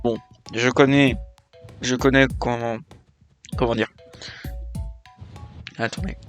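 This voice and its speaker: A young man chatting casually over gameplay, rambling and often correcting himself mid-sentence. He speaks slowly, at a mid pitch. Game music plays clearly in the background. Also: steady tone. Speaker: gaming video creator. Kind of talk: casual let's play commentary.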